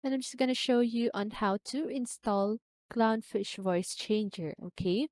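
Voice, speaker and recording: A woman presenting a step-by-step software tutorial in an instructional manner, often checking in with a short question. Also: a little high, unhurried, no echo.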